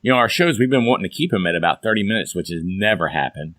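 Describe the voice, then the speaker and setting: A man hosting a casual podcast, a little hoarse, chatty and upbeat in a folksy way. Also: on the low side, lively intonation, quick pace, fairly bright tone, dry recording, studio quality. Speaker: podcast host. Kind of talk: casual chat.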